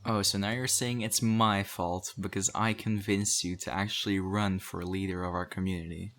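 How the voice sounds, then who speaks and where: A man acts out a weary male survivor who helps run a community after the zombie apocalypse. His voice sits very low and moves little in pitch. He speaks conversationally and directly to the listener, as in an audio roleplay, with a serious, put-upon manner. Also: level tone, moderate pace, fairly bright tone. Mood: teasing exasperation. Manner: conversational, urging, mildly exasperated.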